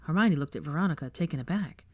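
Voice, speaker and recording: Animated lowish-voiced woman narrator. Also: fast talker, no room sound, clean studio sound. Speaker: fan fiction narrator. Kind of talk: scripted story narration.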